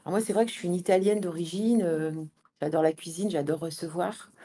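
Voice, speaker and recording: A woman being interviewed as a guest, speaking conversationally and haltingly, often repeating words as she builds her answers. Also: lowish voice, steady tone, warm tone. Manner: hesitant, halting delivery with many repeated words. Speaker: interview guest, founder and manager of an event venue.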